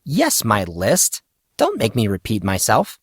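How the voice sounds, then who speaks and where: A man's voice: fairly low pitch, wildly swooping pitch, measured pace, husky, no echo, clean studio sound, full character voice. Man playing a sneering teenage rich girl. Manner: snappy, dismissive, sarcastic.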